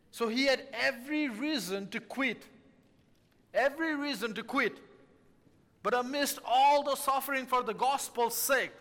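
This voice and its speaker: A man's voice: very high voice, sharp tone, long reverb tail. A man from Nepal, preaching an earnest sermon as a missionary and repeating key phrases for emphasis.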